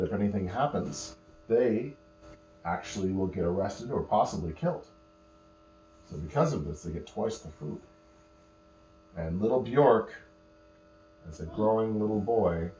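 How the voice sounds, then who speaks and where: A man telling an improvised bedtime story to children, patiently explaining words and pausing mid-phrase as he goes. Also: on the low side, animated, mellow. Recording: roomy echo, faint electrical hum.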